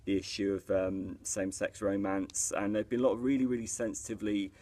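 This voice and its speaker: Young film vlog host, low voice, chatty, filler-heavy. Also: male voice, little pitch movement, fast talker, dark tone. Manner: chatty, laughs often, many fillers. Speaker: YouTube film review host. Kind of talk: casual film review chat.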